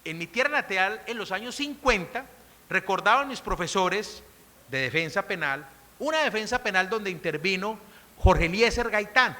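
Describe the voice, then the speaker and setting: A middle-aged woman from Colombia with a Colombian accent, giving a measured, emphatic formal lecture on criminal law at a conference. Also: mid-range voice, lively intonation, pushed and bright. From Colombia, coffee region. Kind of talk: formal academic lecture, partly read from a text. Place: large conference hall. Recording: reverberant conference hall.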